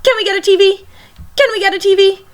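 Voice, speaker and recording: A woman voicing an eager, insistent, excitable young boy from a picture book. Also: very high-pitched, fast, pitched above the performer's own voice. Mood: pleading.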